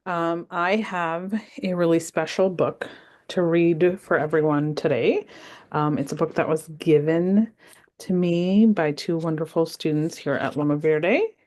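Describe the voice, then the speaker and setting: A woman's voice: deep voice, measured pace. A woman reading a picture book aloud to a school, friendly and chatty between the pages. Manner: friendly, with fillers, animated. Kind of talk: children's read-aloud narration.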